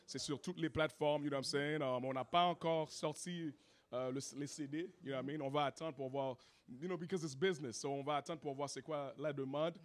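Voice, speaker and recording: Haitian guy, rapper, slightly high voice, easygoing, keeps saying you know what i mean. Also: steady tone, a little fast. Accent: Haitian French. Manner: laid-back, peppers speech with "you know what I mean". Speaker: rapper and singer. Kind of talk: casual interview, mixing French and English.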